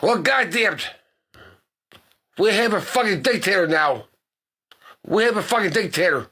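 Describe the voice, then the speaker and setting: A man with a raspy voice delivering a forceful, profane, repetitive personal rant. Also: sits high, lively intonation, unhurried. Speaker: disgruntled voter.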